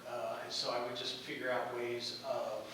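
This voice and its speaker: A man giving informal feedback from an audience, halting as he thinks aloud. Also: medium pitch, completely flat delivery, bright, forceful tone. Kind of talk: informal critique. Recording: very echoey room, distant mic, clear noise.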